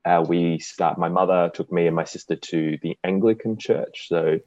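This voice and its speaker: A young man with an Australian accent and a very deep voice talks in an easy, relaxed way, with a dry sense of humor. The sound is close and dry, a little dull and lo-fi. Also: moderate pace, no room sound. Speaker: podcast guest. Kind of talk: casual interview.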